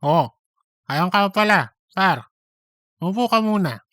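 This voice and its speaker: A man's voice: high-pitched, expressive, slow pace, fairly bright tone, no room sound, full character voice. Man acting a cocky, gossipy office braggart, dry sound. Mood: bossy, grumbling. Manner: cocky, gossipy, repeats himself. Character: boastful philandering male office worker bidding to be manager. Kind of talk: comedic audio story dialogue.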